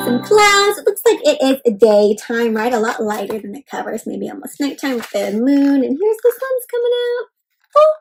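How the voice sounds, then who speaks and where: High-pitched, animated woman reading to children. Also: low-quality recording. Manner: expressive, exclaiming, asking young listeners questions. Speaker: host reading a picture book aloud to children. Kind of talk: interactive children's read-aloud.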